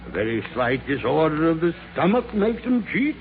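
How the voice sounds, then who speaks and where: Scornful old miser for radio drama; highish, hugely animated delivery, scratchy narrow-band vintage recording. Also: male voice, moderate pace. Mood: frightened, blustering. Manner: crotchety, stammering when rattled. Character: miserly, scornful, crotchety old businessman, frightened by ghosts. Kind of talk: 1940s radio drama acting.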